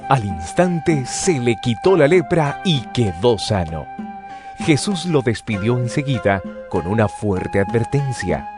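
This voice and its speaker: A man's voice: mid-range voice, sing-song, unhurried. A man narrating scripture as scripted audio drama in a solemn storytelling manner. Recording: music bed behind the voice.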